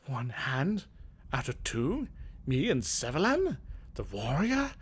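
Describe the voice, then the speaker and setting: A man's voice: mid-range voice, huge pitch swings, takes it slowly, breathy edge, exaggerated voice. A man voicing a proud, impatient young sorceress in a video game, eager for battle and boastful. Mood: insistent, competitive.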